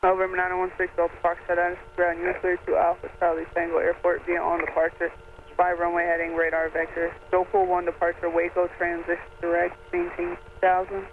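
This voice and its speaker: A man's voice: high-pitched, completely flat delivery, fairly quick. Over an aviation radio, a man working as an air traffic controller reads out instructions in a formal, procedural, clipped style. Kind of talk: clipped radio clearance readout. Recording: narrow, crackly aviation radio with faint noise.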